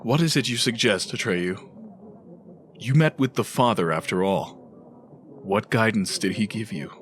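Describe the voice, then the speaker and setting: An actor playing a solemn, devout celestial commander in a fantasy audio drama, formal and authoritative. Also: male voice, on the low side, a little slow, a little hiss or hum, close to an everyday voice. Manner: formal, authoritative, archaic wording.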